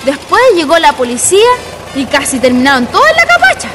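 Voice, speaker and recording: An excitable Chilean woman gossip acted out by an actress for a radio play. Her voice sits high and leaps around as she spins her tale in colloquial speech. Music plays strongly behind her. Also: fairly quick. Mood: excited gossip. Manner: animated, colloquial Chilean storytelling. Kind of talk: radio drama acting.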